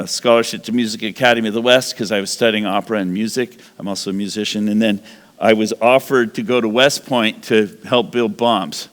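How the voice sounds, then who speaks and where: A man in his sixties giving a rambling, animated sermon-style talk as a guest speaker in a church. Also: lowish voice, big-room sound. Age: 60s. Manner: animated, rambling, self-correcting.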